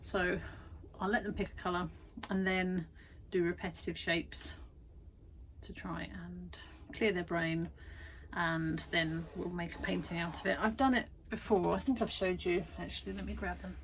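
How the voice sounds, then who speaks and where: A woman's voice: lowish voice, steady tone, moderate pace, a little hiss or hum, rough recording. A woman vlogging from her garden shed studio, chatty and self-deprecating, tired after busy weeks. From United Kingdom. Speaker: vlogger and art workshop tutor. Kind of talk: casual chatty vlog.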